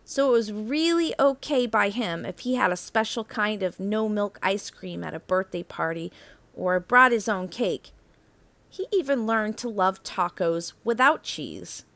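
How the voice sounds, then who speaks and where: A woman narrates a picture book for children at a slow, measured pace. Her pitch sits in the middle range for a woman. She phrases expressively and leans deliberately on key words. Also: fairly bright tone, no room sound. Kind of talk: expressive children's read-aloud storytelling.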